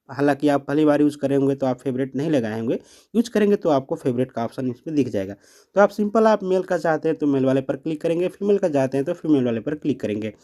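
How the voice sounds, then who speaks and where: A man's voice: fairly high pitch, darkish tone. A man hosting a step-by-step app tutorial, explaining instructively to viewers. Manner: instructional, addressing viewers as friends. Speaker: tutorial host.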